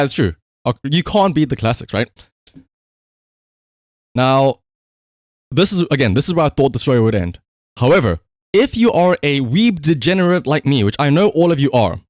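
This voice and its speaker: A man's voice: medium pitch, no room sound, studio quality. A man livestreaming casual commentary, telling a story conversationally with rhetorical asides.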